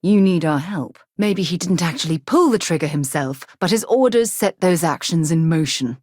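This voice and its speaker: A seasoned former commander turned space explorer in a video game. Her voice sits slightly low and is recorded dry. She speaks earnestly and articulately, with warmth and firm principles. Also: expressive, moderate pace, dry recording. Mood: resolute. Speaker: game companion character. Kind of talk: video game voice acting, dramatic dialogue.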